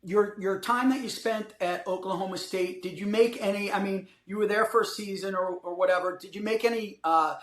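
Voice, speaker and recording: A man's voice: sits high, fairly even intonation, fairly quick, few pauses, a bit bright. A man hosting a casual podcast interview, greeting guests with enthusiastic hype and asking easygoing questions. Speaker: podcast host. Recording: echoey room.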